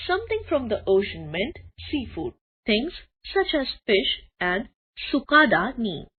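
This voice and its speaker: A woman reads a children's story with lively intonation and a fairly high pitch. Her voice is a little husky, and the recording is thin and phone-like. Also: measured pace. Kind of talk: expressive scripted children's story narration.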